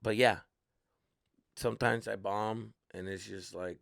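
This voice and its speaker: A man's voice: on the low side, unhurried, gravelly, no echo, studio quality. A Mexican-American man telling stories casually as a podcast host and comedian, slangy and sweary, slipping into Spanish and acting people out. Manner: animated, acting out people's reactions. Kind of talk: casual solo podcast storytelling.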